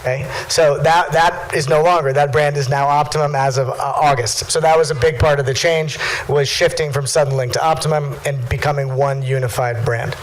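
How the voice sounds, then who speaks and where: A man speaking as a business panelist, explaining casually and haltingly, often repeating his words. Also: on the high side, steady tone, low-quality recording. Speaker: marketing executive panelist. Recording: live event with clear background noise.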